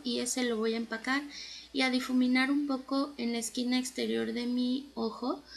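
High-voiced woman, chatty makeup tutorial. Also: vocal fry. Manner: chatty, instructive. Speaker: beauty vlogger. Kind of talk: makeup tutorial narration, casual. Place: bedroom at home.

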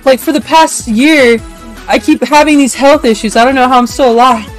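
A woman's voice: sits high, steady tone, normal speed, faint music behind. A woman chatting casually while she streams a game. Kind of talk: casual chat while gaming.

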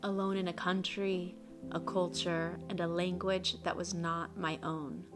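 A woman in her thirties from the United States, narrating a personal travel vlog in an earnest, reflective, measured way. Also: fairly low pitch, level tone. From United States (Colorado). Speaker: travel vlogger. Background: soft music bed.

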